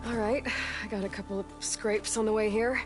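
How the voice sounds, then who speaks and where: A woman playing a guarded, capable young huntress in a video game, earnest and a little halting as she explains things. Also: medium pitch, steady tone, close to an everyday voice. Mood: determined, instructive. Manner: hesitant, halting when explaining. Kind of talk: video game cutscene and exploration dialogue. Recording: loud cinematic game music behind. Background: game music.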